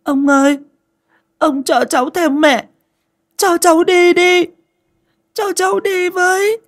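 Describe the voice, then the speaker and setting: A man's voice: very high voice, fairly even intonation, slow, fairly bright tone, pitched above the performer's own voice, exaggerated voice. A small boy wailing in tears. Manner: wailing, tearful. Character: small sick boy, sobbing, pleading.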